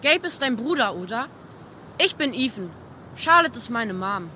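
A woman acting as an eager young boy in a story game. The voice is high and very bright, youthful and earnest, over a faint low rumble. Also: big pitch swings, normal speed. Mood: frank, shy. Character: eager, imaginative young boy who loves comics and exploring. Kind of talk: naturalistic video game dialogue.

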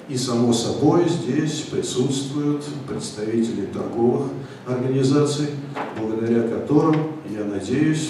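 A man hosting a formal presentation, speaking in a measured way with hesitant fillers. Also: medium pitch. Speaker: event host. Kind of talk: formal event moderation. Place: large conference hall. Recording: echoey hall from far off, background noise.

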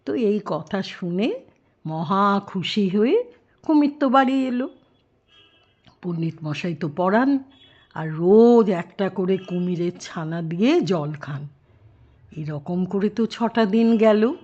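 A woman's voice: mid-range voice, lively intonation, slow, long pauses, darkish tone, muffled recording. An older woman telling a story to young children in a grandmotherly way, explaining and asking questions as she goes.

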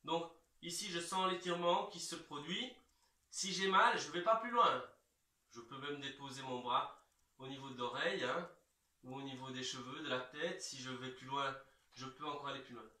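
A man in his thirties giving calm, reassuring step-by-step instructions as an exercise coach, recorded in a large bare room from several metres away. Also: a little high. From Belgium. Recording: echoey room, distant microphone.

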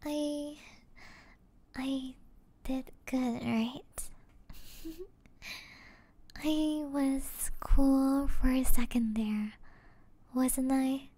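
Woman doing a sweet flustered gamer girlfriend, asmr roleplay, soft mellow voice, close dry sound, murmury. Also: sits high, fairly even intonation, drawn-out words, pauses often, no echo. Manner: soft-spoken, hesitant, often drifting into breathy murmurs. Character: affectionate young gamer girlfriend, gently flustered.

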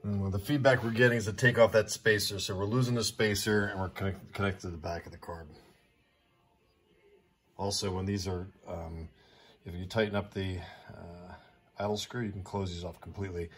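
A middle-aged man talks into a phone held at arm's length outdoors, his voice fairly low. He chats casually and pokes fun at himself, often trailing off with ums while he searches for a word. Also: fairly even intonation, normal speed, breathy edge. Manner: chatty, self-deprecating, fumbling for words. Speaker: vlogger fixing his classic car. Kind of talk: casual road-trip vlog. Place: outdoors in a sunny desert yard. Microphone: handheld phone camera at arm's length.

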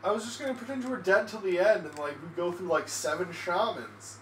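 A man's voice: sits high, fairly quick. A young man co-hosting a game let's play, joking and rambling in casual chat. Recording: roomy echo, off-mic, faint hiss.

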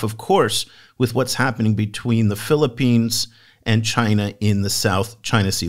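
A man hosting a podcast on world affairs, introducing a guest with enthusiasm and asking interview questions. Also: medium pitch. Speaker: podcast host.

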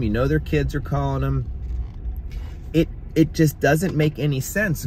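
A man's voice: fairly high pitch, background noise. A man in his thirties, a handyman, giving emphatic, exasperated advice to contractors from his car, recorded on a phone. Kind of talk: casual advice vlog. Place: parked car. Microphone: phone on dashboard.

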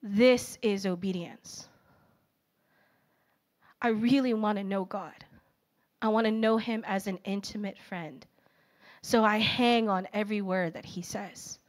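A woman's voice: medium pitch, unhurried, long pauses. A woman in her thirties giving a casual, story-filled sermon to a young adult group. She speaks into a handheld mic on a church auditorium stage. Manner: animated and personal, filler-heavy storytelling. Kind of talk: conversational sermon. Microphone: handheld microphone.

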